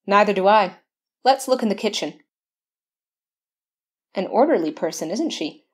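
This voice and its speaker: An actress playing a shrewd, matter-of-fact police sergeant in an old-time radio mystery. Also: female voice, mid-range voice, animated, normal speed, husky. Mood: knowing.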